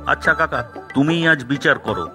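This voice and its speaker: A man voicing a firm, direct, aggrieved villager in an animated cartoon. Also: on the high side, moderate pace, music in the background, natural voice. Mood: urging.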